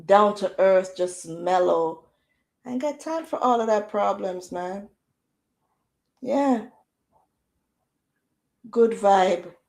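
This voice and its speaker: A Jamaican woman hosting a casual livestream chat in a loud, projecting voice, firing off rhetorical questions with easygoing slang. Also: mid-range voice, takes it slowly, creaky, long pauses. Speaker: livestream host.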